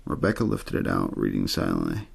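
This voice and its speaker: Low gravelly man reading a quiet bedtime story. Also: huge pitch swings, brisk. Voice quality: gravelly, husky. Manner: low-key, untheatrical narration. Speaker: audiobook-style story reader. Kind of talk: ASMR bedtime reading of a horror novel.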